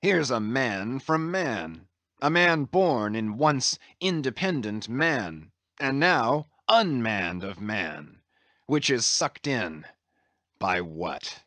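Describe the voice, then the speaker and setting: A man's voice: mid-range voice, sing-song, takes it slowly, pushed and bright, dry recording, exaggerated voice. A man playing a brooding, half-mad old whaling captain in a dramatised sea story, commanding and declaiming in grand archaic speech. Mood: bitter musing. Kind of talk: dramatised classic sea novel reading.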